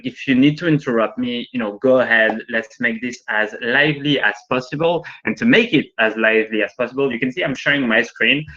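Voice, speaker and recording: A man's voice: medium pitch, fairly bright tone, dull audio. A man presenting a training workshop from home over a video call in English, which is not his first language. He talks in a chatty way and keeps checking in with "right?". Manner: chatty, frequently adds "right?". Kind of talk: live online training talk with demos. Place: working from home.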